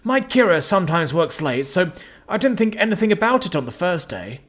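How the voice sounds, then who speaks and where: A man's voice: sits high, sing-song, brisk, a bit bright, voice pushed up, heavily acted. A man voicing a frail, desperate old male amphibian creature with a quivering, hissing voice in a storybook reading. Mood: desperate pleading.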